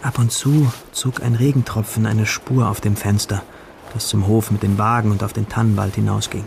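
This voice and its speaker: An actor playing a lovestruck young male student who tells his story in hushed, hesitant literary narration for a radio play. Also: fairly low pitch, steady tone, moderate pace, gravelly, background noise. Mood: wistful, dreamy. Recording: radio drama production with music behind.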